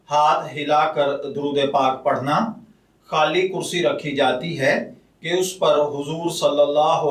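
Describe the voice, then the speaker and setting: A man speaking as a religious scholar, calm and measured, with a slightly high voice. He talks very slowly and formally. The recording is echoey, with the voice a little distant from the mic. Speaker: religious scholar answering a question. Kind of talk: slow formal religious ruling talk. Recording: echoey room, voice a bit far from the mic.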